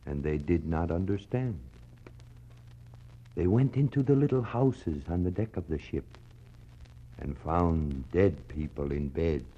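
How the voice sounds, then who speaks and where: A man's voice: low voice, warm tone. An actor playing an Inuit man solemnly recounting his fathers' tale in simple, wondering phrases, in a 1960s radio drama. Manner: slow, simple declarative storytelling with dramatic pauses. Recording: muffled 1960s radio recording.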